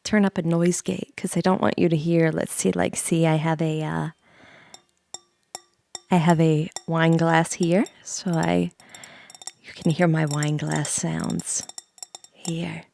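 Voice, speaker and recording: A woman chatting softly on an ASMR livestream, hushed and often slipping into whispers, halting and repeating her phrases, close to the microphone. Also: sits low, little pitch movement, slight background noise. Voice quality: soft, breathy whisper mixed with hushed talk. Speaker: livestream host, VTuber. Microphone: close studio microphone, mouth sounds audible.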